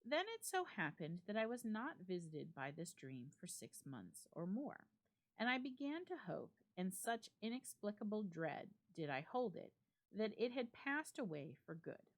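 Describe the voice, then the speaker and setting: A woman giving a dramatic reading of a classic ghost story, with measured, expressive phrasing and deliberate pauses. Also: mid-range voice. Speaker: library staff member reading a story aloud.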